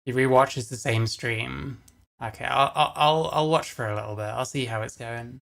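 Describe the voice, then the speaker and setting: A man's voice: medium pitch, moderate pace, vocal fry, a bit bright. A man giving casual live commentary as a streamer reacting to another stream.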